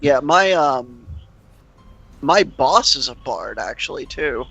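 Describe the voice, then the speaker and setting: A man's voice: medium pitch, expressive, slow pace, gravelly, lo-fi sound. A man playing a tabletop role-playing game, speaking casually and hesitantly. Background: background music.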